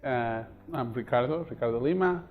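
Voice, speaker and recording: A man from Portugal introducing himself slowly and haltingly, with fillers. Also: mid-range voice, a little hiss or hum. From Portugal, raised partly in Spain. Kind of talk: casual self-introduction. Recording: dull lo-fi sound.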